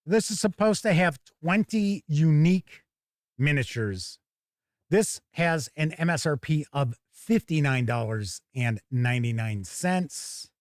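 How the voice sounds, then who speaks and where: Higher-voiced man, casual live unboxing commentary. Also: expressive, slow pace, no room sound, studio quality. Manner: chatty, thinking aloud. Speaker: host of a tabletop game review stream.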